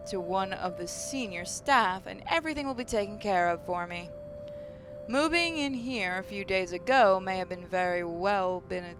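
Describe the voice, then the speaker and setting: A woman reading scripted first-person visual novel narration in a wry, theatrical storytelling manner. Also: medium pitch.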